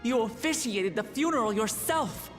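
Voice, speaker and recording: An earnest female leader in a game cutscene voiced by a woman, her fairly high voice swinging expressively. She speaks slowly and directly, in long reverb. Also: lively intonation, natural voice. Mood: indignant. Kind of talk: scripted video game cutscene acting. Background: prominent background music.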